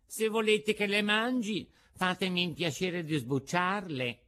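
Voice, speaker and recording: A man voicing a whiny, hungry boy puppet in a storybook reading, talking in a high, squeaky, pleading way. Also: measured pace, pushed and bright. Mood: fussy.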